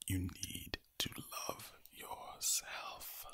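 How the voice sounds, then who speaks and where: A man with an Irish accent giving soothing ASMR affirmations in a low, breathy, gravelly whisper, slowly and deliberately, repeating his phrases. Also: sharp tone, no room sound. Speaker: ASMR creator.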